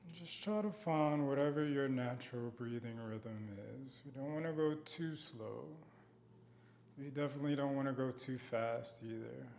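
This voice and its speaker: A man guiding a body scan meditation in a gentle, unhurried manner with long pauses. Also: medium pitch, vocal fry, slight background noise. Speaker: meditation guide.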